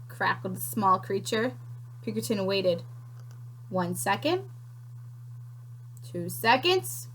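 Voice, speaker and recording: A woman teacher reading a picture book aloud to children, expressive and unhurried, pausing to ask questions. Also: fairly high pitch.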